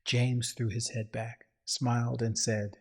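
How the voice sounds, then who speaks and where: A husky-voiced man reading a dramatic scripted story in a solemn, grandiose way. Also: medium pitch, level tone, takes it slowly, a little hiss or hum. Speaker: narrator.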